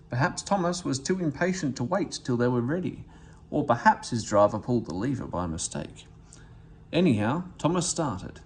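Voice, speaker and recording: Animated man reading a children's story. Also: medium pitch. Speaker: story reader. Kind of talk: expressive children's storybook read-aloud.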